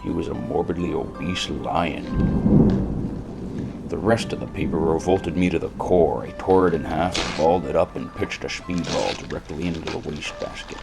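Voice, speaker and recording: Man acting a very deep gravelly noir detective. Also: moderate pace, loud music behind the voice. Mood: cynical. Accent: American. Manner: brooding, deadpan. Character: world-weary cynical hard-drinking male noir detective narrator. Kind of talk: scripted first-person hardboiled noir narration.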